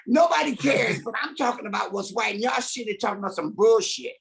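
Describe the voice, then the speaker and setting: Man, gravelly, husky, blunt, profane, emphatic panelist. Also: very high-pitched, a little fast, fairly bright tone. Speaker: conference panelist. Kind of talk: panel discussion.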